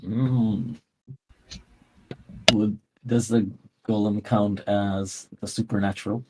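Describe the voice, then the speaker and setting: A man's voice: fairly low pitch, level tone, slow, heavy background noise. A man chatting casually as a player in a tabletop role-playing game, thinking aloud hesitantly.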